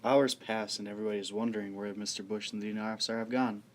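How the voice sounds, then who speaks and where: Low-voiced male narrator, slightly noisy recording. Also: flat delivery, very fast. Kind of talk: scripted radio play narration. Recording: noisy amateur recording with background ambience.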